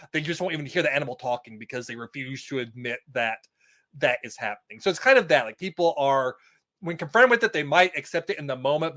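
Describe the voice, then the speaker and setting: A man's voice: medium pitch, a bit bright. A man chatting casually as a podcast guest, explaining things in a rambling, stop-start way. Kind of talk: casual chat about a TV episode.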